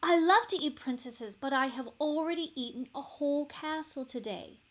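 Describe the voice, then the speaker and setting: A woman reading a children's storybook, voicing a boastful, busy male dragon in a drawn-out, emphatic manner. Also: sits high, animated, voice pushed up. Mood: haughty, dismissive. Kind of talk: children's storybook read-aloud.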